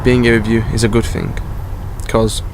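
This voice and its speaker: A man's voice: on the low side, little pitch movement, normal speed, natural voice. A man playing an earnest, loyal, emotional companion in an audio drama, speaking plainly. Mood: tender, sad. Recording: clearly noisy, traffic-like background.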